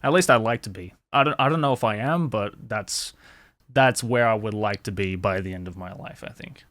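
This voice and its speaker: A man's voice: lowish voice, fairly quick, a bit bright, dry recording. A young man, hosting a casual interview and talking candidly, with lots of "like" and some swearing. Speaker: host.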